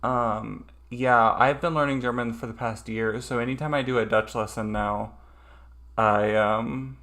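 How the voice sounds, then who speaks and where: A man's voice: medium pitch, steady tone, unhurried, a bit bright. A man streaming a casual study session, thinking aloud hesitantly with lots of ums.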